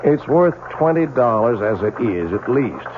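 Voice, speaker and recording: An actor playing an older, puzzled, dutiful clockmaker husband in an old-time radio drama, both narrating and speaking in scenes. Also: male voice, mid-range voice, sing-song, a little slow, background noise. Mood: puzzled, defensive. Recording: narrow-band vintage radio, music behind.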